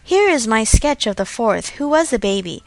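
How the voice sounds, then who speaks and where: A self-assured young society lady from a classic novel, as a woman narrator reads her. She chats in a genteel, self-satisfied way. The voice is fairly high and recorded dry. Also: sing-song, moderate pace, vocal fry, no echo. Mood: proud, chatty.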